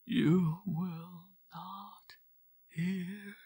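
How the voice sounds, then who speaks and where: Guy putting on a desperate mom, high breathy whispery pleading, dry close mic. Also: a little mellow, dry recording, heavily acted. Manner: breathy, pleading, slow and strained. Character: anguished French mother, pleading and whispering.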